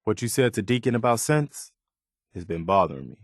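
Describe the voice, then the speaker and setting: An actor playing a principled, loyal and weary young militia soldier in a video game, speaking sincerely and plainly. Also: male voice, lowish voice, very animated, brisk, no echo, studio quality. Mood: thoughtful, concerned. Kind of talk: video game companion dialogue.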